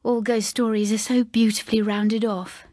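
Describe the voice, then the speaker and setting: A woman voicing a reserved, proud middle-aged English housekeeper in a ghost story, speaking slowly and haltingly. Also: a little high, little pitch movement, mellow, no echo, clean studio sound, lightly acted. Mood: reluctant. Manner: slow, halting, understated.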